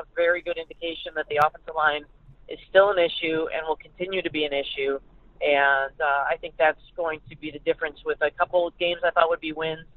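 A woman sports writer giving conversational analysis as a guest, thinking aloud somewhat hesitantly over a phone call. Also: low voice. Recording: band-limited phone line.

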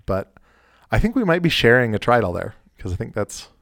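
A man's voice: mid-range voice, sing-song, brisk, creaky, fairly bright tone, no room sound, clean studio sound. A man co-hosting a podcast in casual, informal conversation. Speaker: podcast co-host. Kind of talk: casual chat.

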